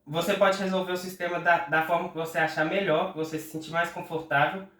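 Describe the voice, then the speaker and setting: Brief: Brazilian man, nasal and congested from a cold, slightly high voice, patient math tutor reading out the arithmetic in an echoey room. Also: flat delivery, pushed and bright, recorded from a distance. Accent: Brazilian Portuguese. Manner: patient, talks through arithmetic aloud slowly. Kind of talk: step-by-step exam problem walkthrough.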